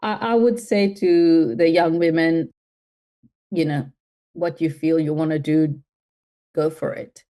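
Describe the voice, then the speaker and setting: Low-voiced woman from Mauritius, thoughtful. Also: fairly even intonation, slow pace, pauses often, dark tone. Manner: thoughtful, with many fillers and restarts. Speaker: guest, health tech CEO. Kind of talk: interview answers.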